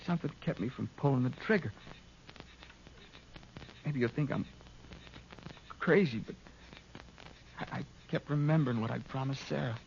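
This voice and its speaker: An American man playing a weary, remorseful former outlaw turned rancher in a 1950s radio Western, speaking in a gravelly, subdued, earnest and hesitant way. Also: mid-range voice, moderate pace, long pauses, a little mellow, lightly acted. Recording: dull, noisy 1950s radio recording.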